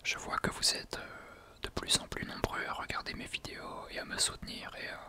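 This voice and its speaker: A man hosts an ASMR talk entirely in a whisper. His voice is breathy and unvoiced, with no full tone behind it. He explains things gently and a little hesitantly, addressing the listener directly. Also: brisk. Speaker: ASMR video creator, host.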